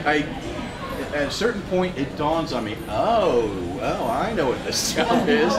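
A man in his fifties giving a casual, self-deprecating talk at a meetup, laughing often as he tells stories. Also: on the high side. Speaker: guest speaker. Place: meetup room in a store, in front of a screen. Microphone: far camera. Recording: echoey room with loud ambience.

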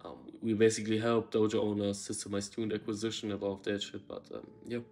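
A young man in his twenties, a consultant for martial arts schools, giving casual talking-head advice from a room in his flat. He talks loosely, with many ums and restarts. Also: fairly low pitch, quick pace. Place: bright room in a flat, indoors.

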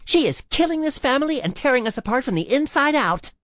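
A woman acts a bitter young female snob in an audiobook. She snaps scornfully, her pitch swinging widely, in a dry, close recording. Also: wildly swooping pitch, a little fast, no echo. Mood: accusing. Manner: snappish, scornful.

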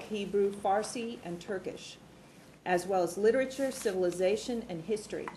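A woman's voice: mid-range voice, warm tone, slight background noise, poor audio. A woman in her sixties reading formal program summaries at a board meeting in a large conference room, on a table microphone. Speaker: university administrator presenting programs.

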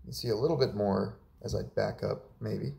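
A hoarse young man giving a casual, chatty update in a small living room, filmed by a camera a few meters away. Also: on the low side, normal speed, recorded from a distance. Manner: relaxed and chatty, with many fillers. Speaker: vlogger. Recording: echoey room, voice off-mic.

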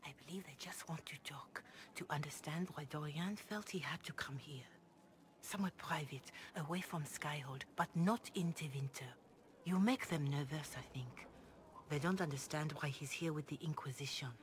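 Woman as a low-voiced, grave Chantry mother. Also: close to an everyday voice. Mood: grave, cautious. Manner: formal, measured, earnest. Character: grave, devout, compassionate older Chantry mother. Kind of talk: video game dialogue acting. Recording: clear background noise and ambience.

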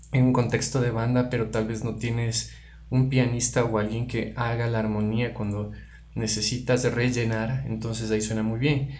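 Male guitar instructor, mid-pitched, halting explanatory delivery, roomy sound with guitar behind. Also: darkish tone. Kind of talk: informal product tutorial. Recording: roomy, reverberant room sound. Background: electric guitar playing.

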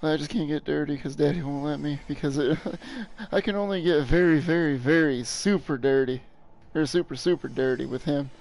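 A man's voice: on the high side, steady tone, moderate pace, a little mellow, poor audio. A young man playing a video game on stream, chatting crudely and joking with viewers, laughing often. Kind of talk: casual gameplay commentary.

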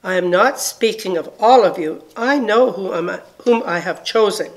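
A woman giving a solemn scripture reading in measured, deliberate phrases. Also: medium pitch, low-quality recording. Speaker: scripture reader.

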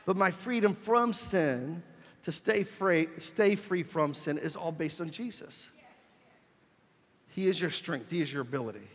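Emphatic pastor preaching in a reverberant church. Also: male voice, fairly high pitch, expressive, measured pace, husky. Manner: emphatic, builds to shouts. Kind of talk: sermon with call-and-response. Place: church sanctuary.

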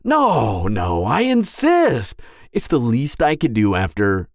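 A man plays a clumsy, kindhearted giant in an audio roleplay. His pitch swoops hugely as he rambles, hesitates and apologizes. The sound is dry and close, with no room around it. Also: takes it slowly, dry recording, exaggerated voice. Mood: eager, reassuring. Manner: flustered, hesitant, rambling, animated. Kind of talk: roleplay audio drama monologue.

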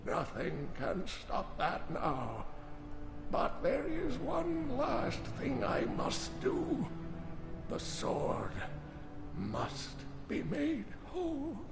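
A weary, wise old scholar near death for a video game. The voice is high and gravelly, swinging widely in pitch as the solemn words come haltingly. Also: male voice, wildly swooping pitch, heavily acted. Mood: weak, solemn. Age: older. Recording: echoing, game music behind.

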